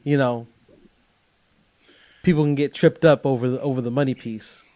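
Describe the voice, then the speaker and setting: A man's voice: medium pitch, steady tone, moderate pace, dark tone, dry recording. A man hosting a podcast in casual conversation, talking loosely with "bro" and restarting his words as he works into a question. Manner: conversational, uses "bro", stammers restarts when starting questions. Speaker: podcast host.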